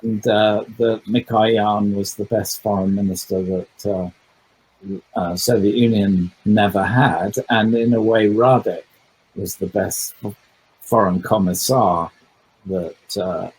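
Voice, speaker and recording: A man's voice: lowish voice, fairly even intonation, measured pace, a little mellow, slightly rough, rough recording. A man speaking as a historian guest in a conversational book talk, thoughtful and digressive, with frequent hesitations.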